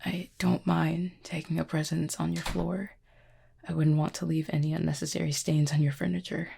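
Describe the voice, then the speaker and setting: A woman playing an injured, proud and guarded cupid in an intimate audio roleplay, speaking formally and wryly in a husky, pained voice with weary sighs. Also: deep voice, level tone, warm tone, slight background noise. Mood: weary, polite. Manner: formal, wry wording with weary sighs and hesitant pauses. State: in pain, strained.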